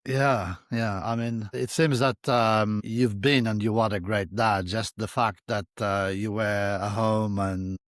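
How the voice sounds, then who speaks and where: A male podcast host asks easy questions and backs his guest with short affirmations. His voice is on the low side. It is recorded close and dry. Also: steady tone, measured pace, hardly any pauses, no room sound. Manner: supportive listener, brief affirmations. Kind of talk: casual interview.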